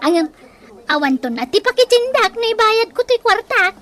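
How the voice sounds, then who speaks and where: A woman playing a vain, scolding middle-aged wife in a comedy radio drama, with a shrill, nagging delivery and an Ilocano accent. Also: sing-song, normal speed, fairly bright tone, full character voice. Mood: dismissive. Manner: nagging, exclamatory.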